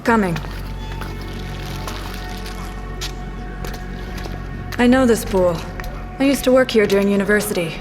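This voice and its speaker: An actress playing a sharp, composed special agent in an audio drama, speaking in terse, clinical fragments like a profiler examining a scene. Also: female voice, medium pitch, fast, natural voice, poor audio. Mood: guarded, dry. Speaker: investigator. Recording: music behind the dialogue.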